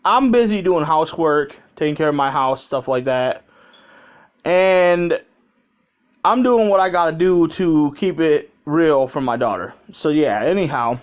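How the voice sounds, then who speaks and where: American man, slightly high-pitched voice, casual storytime vlog, rambling, conversational, profane, handheld phone. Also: animated, unhurried. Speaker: vlogger.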